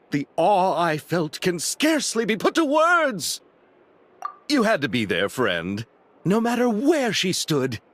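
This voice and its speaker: A man's voice: sits high, fairly bright tone, no echo, exaggerated voice. A man playing a romantic, earnest film director in a video game, theatrical and effusive. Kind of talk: animated video game voice acting. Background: music.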